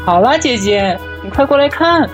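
A woman plays a cheeky little boy in an audio drama. The voice is high and childlike, affectionate and playful toward an older sister, over faint music. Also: animated, normal speed, close to an everyday voice. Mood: excited, playful. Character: cheeky, affectionate young sickly little brother. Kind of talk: Chinese audio drama acting.

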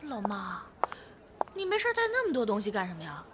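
A woman's voice: mid-range voice, huge pitch swings, a little fast, noisy background. A woman acting a confident, playful, reassuring woman in her thirties in a Chinese audio drama. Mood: exuberant. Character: lively, confident woman of thirty-three devoted to her girlfriend.

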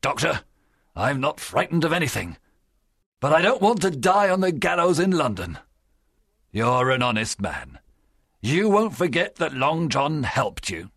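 Wily pirate leader, acted by a male narrator: loud, wheedling, rough-edged, very animated audiobook delivery. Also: a little high, a little slow, dry recording, clean studio sound, voice pushed up, heavily acted. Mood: earnest pleading. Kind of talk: audiobook character acting.

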